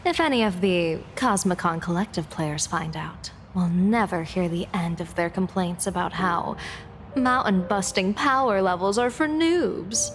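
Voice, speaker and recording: A woman playing a composed female general and diviner in a video game, polite and measured with dry sarcasm. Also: lowish voice, slight background noise. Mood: politely teasing.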